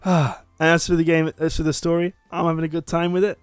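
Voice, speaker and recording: A man's voice: a little high, little pitch movement, fast, clean tone, dry recording, clean studio sound, soft background music. A man co-hosting a let's play, chatting playfully about a game and reading bits of it aloud.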